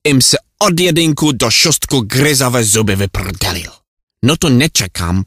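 A man's voice: medium pitch, fairly bright tone, dry recording, studio quality, natural voice. A man playing a hapless, exasperated Czech lost in Poland, ranting in a comic monologue with a Czech accent. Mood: exasperated, bewildered. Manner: flustered ranting in garbled mock Czech-Polish.

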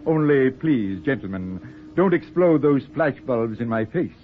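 A man's voice: mid-range voice, expressive, unhurried, natural voice. An actor playing an urbane, witty middle-aged man-about-town in a suave, theatrical 1940s radio style. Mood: suave, amused. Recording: noisy, tinny 1940s radio broadcast.

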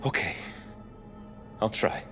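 An actor playing a frightened, devout condemned man in a video game, speaking solemnly and haltingly with earnest feeling. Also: fairly bright tone. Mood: afraid, hesitant. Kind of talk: video game dramatic acting. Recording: music behind the voice.

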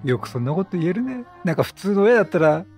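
Male game streamer, casual reactive commentary, lively swinging intonation, music underneath. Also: high voice, fast. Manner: chatty, expressive, reactive. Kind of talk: casual Let's Play commentary.

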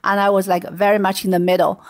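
A middle-aged woman with a Chinese accent, a guest telling stories in a relaxed interview, laughing lightly now and then. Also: mid-range voice, fast talker, no echo, clean studio sound. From China. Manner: chatty storytelling with light laughs. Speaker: guest, venture investor. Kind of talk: casual interview.

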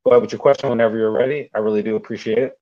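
A man hosting a live audio Q&A, talking in quick, repetitive bursts with fillers and restarts. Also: on the low side, fairly even intonation, warm tone, dry recording. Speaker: host. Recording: dull, dry online audio.

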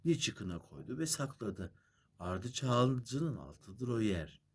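A man's voice: mid-range voice, very animated, slow pace, gravelly, slightly rough, full character voice. A man voicing a proud magical bird from a fairy tale, sing-song in verse and firm in bargaining. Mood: sing-song, plaintive.

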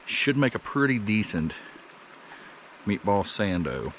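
A man in his thirties talking to the camera while cooking outdoors at a wooded campsite, rambling and easygoing as he thinks out loud. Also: lowish voice, very animated, takes it slowly, noisy background. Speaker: camping cook vlogger.

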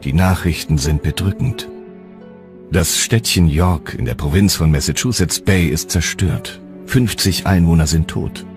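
A man's voice: sits low, measured pace. A man reading scripted documentary narration as the narrator. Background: music.

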